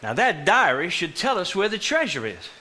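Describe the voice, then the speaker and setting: A man playing an eager, greedy, pushy treasure hunter in a live stage drama, with an excitable manner. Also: high-pitched, normal speed, heavily acted. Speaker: stage actor.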